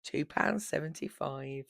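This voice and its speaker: A woman in her fifties, hoarse from losing her voice, chatting casually and rambling about books on her vlog into a microphone on a holder. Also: sits low, unhurried, dry recording, studio quality. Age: 50s. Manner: chatty, rambling, warm fond asides. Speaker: book vlogger.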